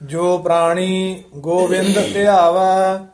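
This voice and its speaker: A man with a high voice recites scripture as a student. His chant is very slow and stays within a narrow, even range of pitch.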